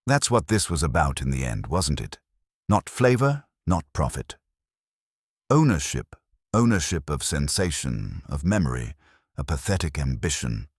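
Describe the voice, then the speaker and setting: A man reads as a stout, lofty old detective who talks in slow, formal pronouncements. The voice sits extremely low, with a husky edge and a rise and fall that stays lively. It is close and dry, with no room around it. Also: no echo, exaggerated voice. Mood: measured. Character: stout older male detective, measured and lofty.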